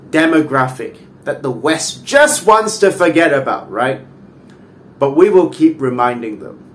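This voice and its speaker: A man from Malaysia, giving an earnest political opinion monologue and tagging points with "right?". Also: mid-range voice. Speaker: political commentator.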